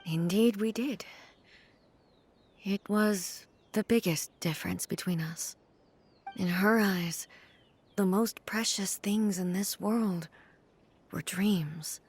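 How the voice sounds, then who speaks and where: A woman voicing a composed, formal female ruler in a game, speaking calmly and with measure. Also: on the low side, slow pace, pauses often, breathy edge, heavily acted. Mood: solemn. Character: regal, composed female ruler, calm and solemn.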